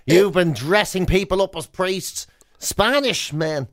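An Irish man with a notably high voice for a male, talking as a radio co-host. He banters comically, hammering points by repeating them emphatically. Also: lively intonation, slow pace, a bit bright. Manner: mock outrage, emphatic repetition.

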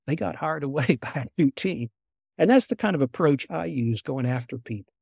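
A soft-spoken older man tells stories at an unhurried pace, often repeating a word as he restarts a thought. His voice sits a little high and has a gravelly edge. The sound is dry and close. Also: animated, dry recording. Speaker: guest, former intelligence officer. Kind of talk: conversational storytelling.

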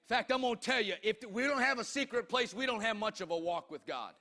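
High-pitched, bright-voiced male preacher. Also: animated. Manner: emphatic, declamatory, with drawn-out phrases. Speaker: pastor. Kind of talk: impassioned sermon.

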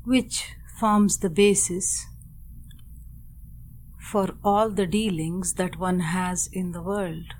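A woman narrating a guided meditation in a measured, deliberate way, in short phrases with long pauses. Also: fairly low pitch, steady tone, slight background noise.

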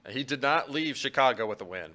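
Casting: male sports co-host, medium pitch, bright crisp voice, casual conversational delivery. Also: lively intonation, fairly quick.

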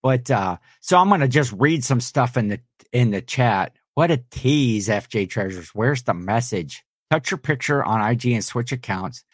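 A man's voice: mid-range voice, animated, moderate pace, no room sound, studio quality. A man co-hosting a casual live stream, reading the chat aloud and teasing his partner in an easygoing way. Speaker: live show co-host.

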